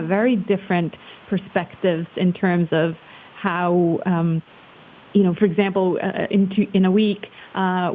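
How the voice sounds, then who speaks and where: Swiss-born woman on a hissy phone-like line. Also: on the low side. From Switzerland. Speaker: interview guest, marketing professional. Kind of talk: casual conversational interview. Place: remote call. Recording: muffled phone-like line with hiss.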